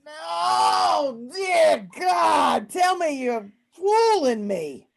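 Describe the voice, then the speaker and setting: A woman's voice: extremely high pitch, very animated, drawn-out words, raised voice, heavily acted. A woman playing an irritable, bossy Italian-American male mob boss in an amateur old-time radio comedy play, talking like an exasperated tough guy. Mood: alarmed. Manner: gruff, bossy, exasperated wiseguy talk.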